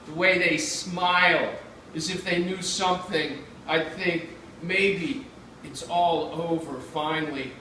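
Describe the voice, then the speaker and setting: An American man from Pittsburgh giving a measured, dramatic live reading of his own literary writing. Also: a little high, fairly even intonation, pushed and bright. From Pittsburgh, United States. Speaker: writer reading own work. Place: indoor reading event. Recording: echoey room, far mic, faint noise.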